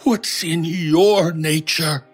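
A man acting in an audio horror drama as a grieving, protective shopkeeper, with a husky voice and an emotional, reproachful manner. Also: fairly high pitch, fairly even intonation, extremely slow, heavily acted. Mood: bitter, reproachful. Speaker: voice actor. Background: music.

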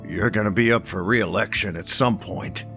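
An actor playing an old small-town sheriff in a horror audio drama, matter-of-fact, folksy and quietly threatening. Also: male voice, on the low side, normal speed, gravelly, faint music behind. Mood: cold threat.

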